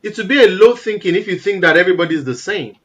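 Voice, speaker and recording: A man from Nigeria with a naturally loud voice, talking as an interview guest in an animated, emphatic way. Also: high voice, racing. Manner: animated, emphatic, repeats words for stress. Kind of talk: casual interview conversation.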